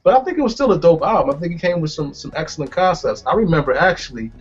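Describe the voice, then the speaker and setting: A man on the panel giving his views in a relaxed, reflective way. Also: on the high side, fast, breathy edge. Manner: reflective, acts out sounds in stories. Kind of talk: casual conversation. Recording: lo-fi, faint music behind.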